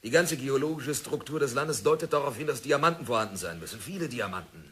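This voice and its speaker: A man's voice: medium pitch, expressive, quick pace, a bit bright, husky. An actor playing a stubborn, obsessed male diamond prospector in an old radio play, self-assured and sardonic. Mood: insistent. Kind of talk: 1960s radio drama acting.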